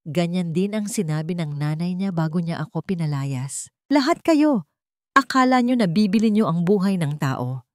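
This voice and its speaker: A woman's voice: on the low side, lively intonation, moderate pace, no echo, studio quality, natural voice. Woman acting a bitter, wounded, accusing mother. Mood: bitter, sarcastic. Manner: emphatic, accusing, sarcastic.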